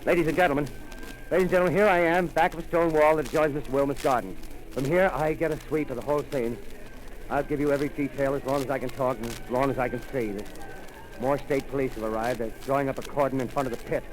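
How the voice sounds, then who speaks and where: A man's voice: medium pitch, steady tone, lightly acted. A man playing a stammering, panicked radio reporter. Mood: tense. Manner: halting, stammering, increasingly panicked. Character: adult male radio reporter describing an alien landing. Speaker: field reporter. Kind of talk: 1930s radio drama acting. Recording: noisy 1930s radio broadcast.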